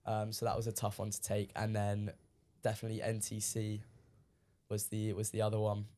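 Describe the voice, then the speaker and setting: A teenage man from the UK, a guest on a podcast, talking casually with lots of ums, his voice a little croaky from shouting. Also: lowish voice, brisk. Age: teens. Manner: relaxed, many ums, recounting matches. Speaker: guest player.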